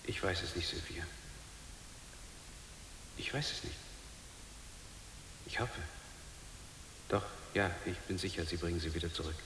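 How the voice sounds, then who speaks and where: A man playing a troubled middle-aged father in a radio drama, halting and repeating himself as he clings to hope. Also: deep voice, fairly even intonation. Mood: troubled, uncertain. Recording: hissy, echoey reverberant hall.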